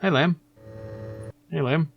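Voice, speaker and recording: Give the game a haughty, self-important manager acted out by a man. The voice sits raised and loud, grumbling and dismissive, full of managerial importance. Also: a little high, slow, creaky, highs cut off, a little hiss or hum, voice pushed up. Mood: pompous. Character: pompous, dismissive factory manager in an adventure game.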